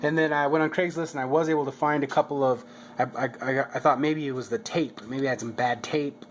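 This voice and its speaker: Casual, halting man over steady background noise. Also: medium pitch. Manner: hesitant, halting, repeats words. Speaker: hobbyist video maker. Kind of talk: casual narrated project vlog.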